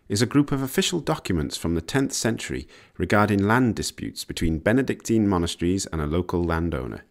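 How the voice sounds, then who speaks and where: A man reading scripted educational narration in a measured, explanatory way. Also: lowish voice. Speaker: narrator of an educational language history video.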